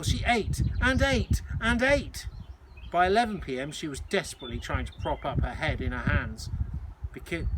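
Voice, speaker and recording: A man reads a children's story aloud like a schoolteacher. His voice sits a little high and the narration is expressive. There is clear noise behind him, with birds chirping. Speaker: teacher reading aloud.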